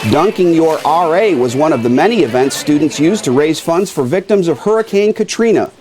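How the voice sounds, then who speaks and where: An American man narrates a newsreel in a measured, scripted announcer style. His voice sits a little high and moves with lively intonation over a music bed.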